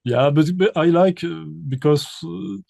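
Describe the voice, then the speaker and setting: A man from Belgium, a composer and pianist, answering interview questions in halting with many restarts and repeated words. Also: a little high, vocal fry, warm tone, no echo, clean studio sound.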